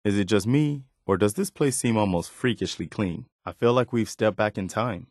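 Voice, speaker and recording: An actor playing an earnest, dutiful, plainspoken militia lieutenant in a post-apocalyptic video game. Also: male voice, fairly low pitch, moderate pace, a little mellow, no room sound, clean studio sound, close to an everyday voice. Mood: calm, wry. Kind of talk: video game character dialogue.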